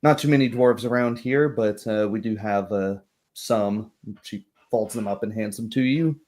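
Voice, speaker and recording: A man with a fairly low voice runs a tabletop roleplay as game master. He describes scenes off the cuff, often pausing mid-phrase. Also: a little mellow. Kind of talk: improvised narration of a tabletop roleplay.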